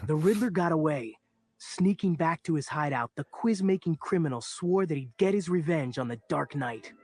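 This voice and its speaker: An actor playing a young sidekick who narrates a superhero audio adventure in a melodramatic, scripted style. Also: male voice, fairly high pitch, animated, normal speed, darkish tone, no echo. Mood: excited, dramatic.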